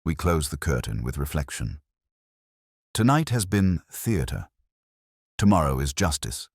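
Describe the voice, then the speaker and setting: A man plays a commanding private detective in a story reading. The voice is extremely deep and moves with lively pitch, handing down formal, deliberate pronouncements with pompous certainty. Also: breathy edge, no room sound, studio quality, heavily acted. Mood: solemn.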